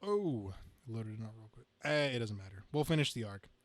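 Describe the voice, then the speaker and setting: A man's voice: lowish voice, animated, fairly quick, no room sound. A man hosting a podcast in casual, chatty conversation. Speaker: podcast host. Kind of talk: casual chat.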